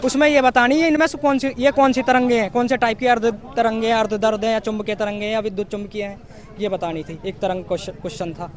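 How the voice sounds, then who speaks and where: Young man, western Uttar Pradesh accent, very high pitch, halting recall, informal interview. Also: level tone. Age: young adult. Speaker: exam candidate being interviewed. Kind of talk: informal street interview recalling exam questions.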